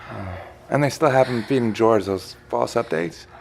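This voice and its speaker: Cheeky male lover, animated pitch, creaky voice, playful and casual. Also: moderate pace, a little hiss or hum. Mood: casual, curious. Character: cheeky, flirtatious male secret lover and coworker.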